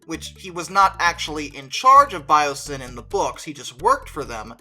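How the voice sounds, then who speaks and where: A video essayist with a bright, forward voice in a middle register, talking conversationally through an opinionated film review. The delivery is emphatic and informal, with faint music underneath. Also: medium pitch, very animated, normal speed. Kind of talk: opinionated movie review commentary.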